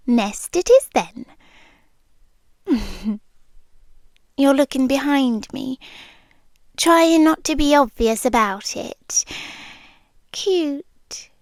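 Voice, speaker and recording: A British woman playing an amused, possessive immortal monster queen in an intimate, hushed ASMR roleplay, teasing and often slipping into whispers. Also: sits high, no room sound, clean studio sound, exaggerated voice. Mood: possessive, coaxing, then sly, amused.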